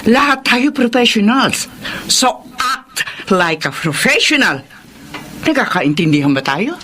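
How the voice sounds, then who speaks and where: A Filipino actress playing a stern but fair office supervisor in a radio drama, speaking with authority. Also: female voice, a little high, big pitch swings, measured pace, pushed and bright, heavily acted. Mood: stern, measured. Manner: authoritative, admonishing.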